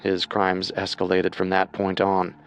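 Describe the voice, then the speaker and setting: A man narrating a scripted true crime podcast in a measured, dramatic way. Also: deep voice, monotone, vocal fry, slight background noise.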